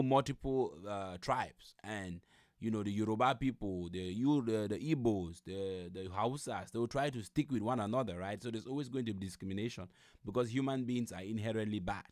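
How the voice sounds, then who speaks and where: A man's voice: lowish voice, darkish tone, dry recording, clean studio sound. Man reacting casually on a livestream. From Cameroon. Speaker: livestream host reacting to a video. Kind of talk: casual live commentary.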